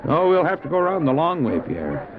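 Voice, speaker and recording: Man acting animated, high-voiced sled-driving doctor. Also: brisk, background noise. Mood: firm, concerned. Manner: animated, exclamatory, self-talking. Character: determined, devout male doctor driving a dog sled. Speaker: character who also narrates his story. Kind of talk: audio drama acting. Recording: dull old cassette drama, loud music.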